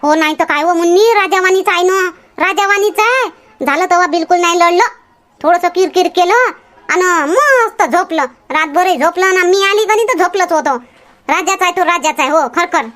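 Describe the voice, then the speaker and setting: A woman acts out a chatty village woman from a homemade comedy cartoon. Her voice is extremely high and squeaky. She bickers and teases in a gossipy way, with a Varhadi Marathi accent. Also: normal speed, fairly bright tone. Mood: excited gossip.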